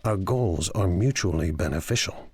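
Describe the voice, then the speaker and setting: An actor playing a confident, sly crime lord in a video game, sardonic and self-assured. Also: male voice, deep voice, lively intonation, moderate pace, a little mellow, slightly rough, no echo. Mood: sly confidence. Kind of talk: scripted video game acting.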